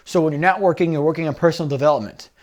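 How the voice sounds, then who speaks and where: A man with a slightly raised pitch coaches a client through job-interview answers. He talks in firm, repeated instructions with quick asides. The sound comes through a video call. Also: a little mellow. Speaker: career coach.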